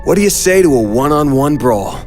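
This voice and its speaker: An actor playing a tough young mercenary hero in a video game, with a blunt, sweary, hard-boiled delivery. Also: male voice, fairly high pitch, animated, brisk, lightly acted. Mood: cold contempt. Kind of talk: English-dub video game drama acting. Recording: soft game music behind.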